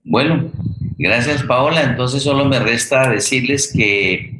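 A middle-aged Colombian man hosting a forum in a reflective, unscripted way, halting and searching for his words. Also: mid-range voice, pushed and bright, low-quality recording. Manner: halting, searching for words, with repeated words and long hesitations. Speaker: host of a radio theatre forum.

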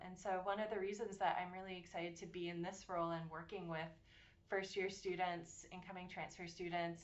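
A woman in her forties from Massachusetts, co-hosting a podcast and telling her story with earnest, warm detail and frequent ums. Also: on the low side, highs cut off. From Massachusetts, USA. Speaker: podcast co-host, university program director. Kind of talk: casual podcast chat.

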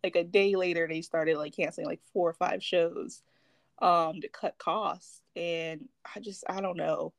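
A woman's voice: fairly low pitch, steady tone, a little slow, creaky, no room sound, studio quality. A woman co-hosting a casual talk podcast, sounding exasperated and often trailing off. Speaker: podcast co-host. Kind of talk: casual chat.

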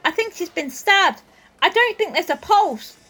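A woman playing a store security guard in an audio drama, who speaks briskly and with authority. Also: very high voice, animated, bright, forceful tone, heavily acted. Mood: alarmed. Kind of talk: amateur audio drama acting.

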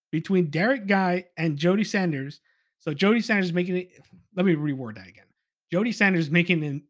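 A man hosting a casual solo podcast, giving opinionated commentary in an informal, exasperated way. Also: high-pitched. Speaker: podcast host.

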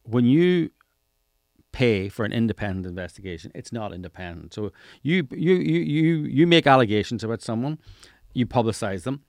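A man's voice: medium pitch, animated, normal speed, darkish tone, no room sound, clean studio sound. A middle-aged man, hosting a casual interview and repeating phrases for emphasis. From Northern Ireland. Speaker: interviewer, podcast host. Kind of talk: casual conversational interview talk.

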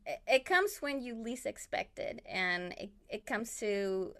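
A woman's voice: fairly high pitch, expressive, measured pace, fairly bright tone. A woman from Chile whose native language is Spanish, chatting casually about herself in a giggly, rambling way as an interview guest. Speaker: podcast guest, illustrator and artist. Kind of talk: casual chat, telling own story.

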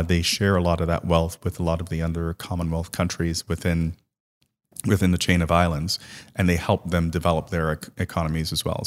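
A man's voice: deep voice, long reverb tail. A man who grew up in Guyana and Trinidad, easygoing and chatty as an interview guest, often checking in with "right?". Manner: easygoing storyteller, lots of "right?" and "you know". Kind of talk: casual interview, life story.

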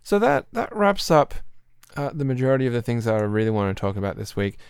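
A man hosting a podcast, chatting casually and rambling a little, clearing his throat often, his voice slightly throaty. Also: mid-range voice, sing-song, fast, dry recording. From Australia. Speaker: podcast host. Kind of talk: casual chat about keyboards.

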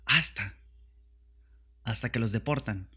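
Casting: male comedy podcaster, northern Mexican accent, mid-pitched voice, sweary and sarcastic monologue style. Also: takes it slowly, bright, forceful tone, husky. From Tijuana, Mexico. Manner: slangy, profane, sarcastic. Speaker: podcast host.

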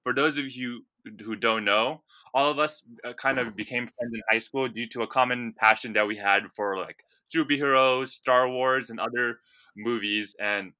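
A young man from India hosts a casual podcast, loose and rambling, with plenty of ums and likes. His voice has a strikingly bright, forward ring. The sound is very dry and close to the mic, with no room in it. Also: on the low side, dry recording. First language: Punjabi. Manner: relaxed, rambling with many fillers. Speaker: podcast host.

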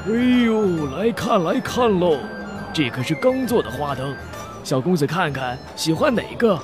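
A man's voice: fairly high pitch, sing-song, normal speed, music in the background. A man playing a cheery, obliging street vendor in an audio drama, hawking in a singsong manner.

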